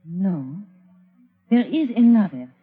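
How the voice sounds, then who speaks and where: A woman's voice: mid-range voice, very animated, takes it slowly, slightly rough, full character voice. Mysterious French woman, tinny sound. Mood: earnest. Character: mysterious, earnest French-speaking café woman. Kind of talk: 1940s radio acting. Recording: tinny old radio recording, faint music.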